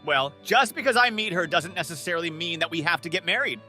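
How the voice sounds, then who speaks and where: A man playing a nervous, earnest, lovesick twenty-four-year-old in an animated comic dub, narrating his own self-doubting thoughts. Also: on the high side, quick pace, long reverb tail. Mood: anxious, pondering. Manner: earnest, self-doubting inner monologue with startled outbursts. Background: background music.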